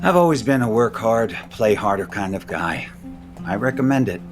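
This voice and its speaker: An actor playing a worldly, party-loving older board chairman in an audio soap opera, suave and confiding in a measured way. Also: fairly low pitch, lively intonation, natural voice. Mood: breezy, familiar. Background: music under the dialogue.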